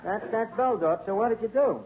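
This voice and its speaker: A man's voice: high voice, brisk. A man, acting in a 1940s radio comedy as a wisecracking, streetwise tavern manager. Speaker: tavern manager character Archie. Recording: muffled, noisy old radio broadcast.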